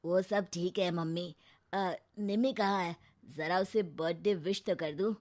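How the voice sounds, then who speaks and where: A woman's voice: lowish voice, steady tone, a little slow, darkish tone, voice pushed down, natural voice. A woman voicing a lazy, cheeky teenage college boy in a cartoon story, whiny and dismissive. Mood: casual.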